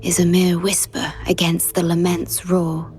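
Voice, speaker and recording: An actress playing a gentle, solemn guardian with a measured, soft delivery in a video game. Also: female voice, lowish voice, monotone, soft background music, lightly acted.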